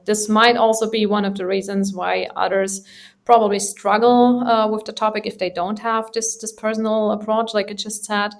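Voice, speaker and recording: Woman podcast host, halting and searching for words, average pitch. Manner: hesitant, frequent ums and restarts. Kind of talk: conversational podcast interview.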